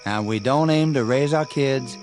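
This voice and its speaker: A man's voice: mid-range voice, moderate pace, darkish tone, faint music behind, close to an everyday voice. An actor playing a stubborn young country man in a radio drama, with a rural Southern US accent and a folksy, plain-spoken manner. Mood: defiant, menacing calm. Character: defiant young country man suspected of being undead.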